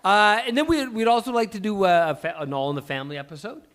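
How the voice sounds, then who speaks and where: A man's voice: high voice, fast, fairly bright tone. A man hosting a live stage event with casual, chatty banter, explaining things in a loose, rambling way. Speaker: host of a live table-read show. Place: small live venue, on stage.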